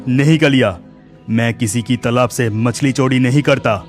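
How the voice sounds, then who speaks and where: A man's voice: mid-range voice, normal speed, soft background music, lightly acted. A man voicing a plucky, boastful young village fisherman in a cartoon story, earnest and insistent.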